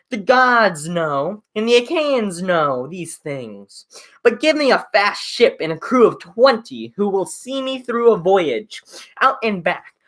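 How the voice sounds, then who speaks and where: A woman voicing a resolute young prince, speaking earnestly and deliberately. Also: medium pitch, fairly bright tone, pitched above the performer's own voice.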